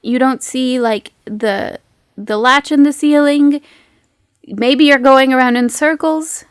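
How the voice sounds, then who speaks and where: A woman's voice: high voice, takes it slowly, smooth, dry recording, studio quality. A woman game master narrating a tabletop roleplaying game in a casual, giggly, playful and conversational way.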